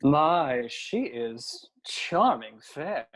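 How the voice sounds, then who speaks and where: A woman's voice: low voice, very animated, drawn-out words, heavily acted. A woman playing an ardent, hot-tempered young nobleman in a period comedy, speaking in grand archaic phrases. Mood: smitten. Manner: grand, declamatory, archaic speech. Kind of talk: period stage comedy audio drama.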